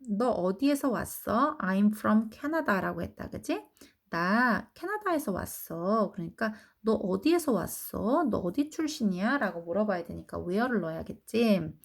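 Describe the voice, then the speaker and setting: A woman teaching English grammar to children, explaining each answer patiently and reading English sentences slowly before translating them. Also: medium pitch, vocal fry. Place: academy lecture recording.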